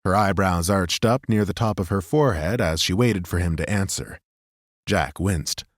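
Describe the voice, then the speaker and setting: A man narrating a fantasy audiobook in a steady, even storytelling manner. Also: sits low, normal speed, no echo, studio quality.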